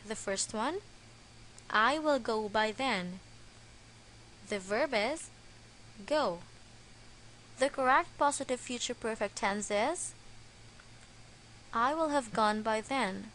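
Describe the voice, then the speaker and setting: A woman narrates a grammar lesson at a very unhurried pace. Her voice sits somewhat high and stays careful and deliberate throughout. A faint hiss runs under the recording. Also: lively intonation, fairly bright tone, low-quality recording. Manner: slow, careful, deliberate delivery. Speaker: English grammar teacher.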